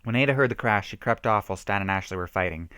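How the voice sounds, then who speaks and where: A man's voice: fairly low pitch, quick pace, pushed and bright, clear, resonant tone, dry recording. Dramatic amateur male story reader, dry sound. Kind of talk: amateur dramatic reading of a homemade horror story.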